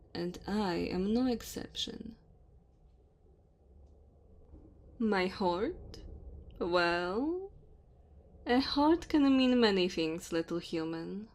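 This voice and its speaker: A woman's voice: fairly low pitch, darkish tone. A woman voicing a dominant, teasing immortal dragon woman in an ASMR roleplay, haughty and speaking straight to the listener. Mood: proud, amused. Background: cave ambience and fire sound effects.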